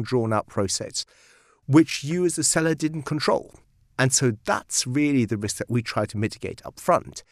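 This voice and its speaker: A Belgian-born man whose first language is Dutch, speaking as a guest lawyer in a studio interview and explaining things in a measured, emphatic way. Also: mid-range voice, no echo. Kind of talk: articulate interview answers.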